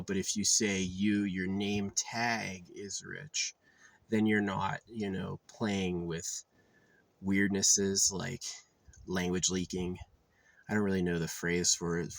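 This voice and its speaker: Deep gravelly man rambling casually. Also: no room sound. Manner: loose, full of fillers and restarts. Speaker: vlogger.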